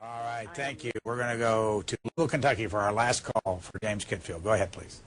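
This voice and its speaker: A man hosting a live call-in program in measured talk, with a husky voice. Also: on the low side, fairly even intonation, a bit bright. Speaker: call-in show host.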